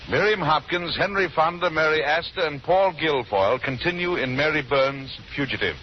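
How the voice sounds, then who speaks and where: A man's voice: a little high, normal speed, noisy background. A man narrating a scripted radio drama as announcer, in old-time broadcast style. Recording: muffled old radio recording. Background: music.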